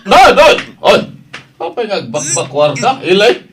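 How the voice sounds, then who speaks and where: A man's voice: high-pitched, big pitch swings, unhurried, loud music behind the voice. A man with an Ilocano accent acting an angry, hurt husband in a radio drama. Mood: furious.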